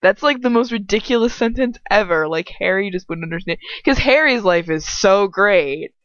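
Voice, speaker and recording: A woman's voice: medium pitch, expressive, normal speed, a bit bright, no echo. A woman commenting casually on a story in a rambling, blunt way, sarcastic and exasperated, swearing freely. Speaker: amateur fan fiction reader and commentator. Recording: dry, dull lo-fi home recording.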